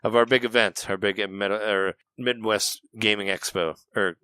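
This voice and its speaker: Male podcast host, lowish bright voice, dry close mic, loose chatty delivery. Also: steady tone, moderate pace, clear, resonant tone, no room sound. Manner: chatty, laughs often, restarts sentences. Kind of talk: casual podcast chat.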